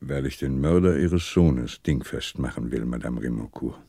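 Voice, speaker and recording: An actor playing a shrewd, self-assured police commissioner with a wry, confident manner in a 1960s German radio crime drama. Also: male voice, a bass voice, moderate pace, mellow. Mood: grave.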